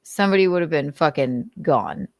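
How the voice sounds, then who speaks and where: A woman's voice: sits low, animated, normal speed, a little mellow, no echo. A woman reading notes aloud with casual, sardonic commentary, swearing freely and talking conversationally. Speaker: host of a commentary show.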